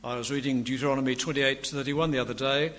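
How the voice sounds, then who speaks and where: An older man preaching a sermon with a quiet voice and dry humour. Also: mid-range voice, fairly even intonation, quick pace, soft background music. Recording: long hall reverb, faint room noise.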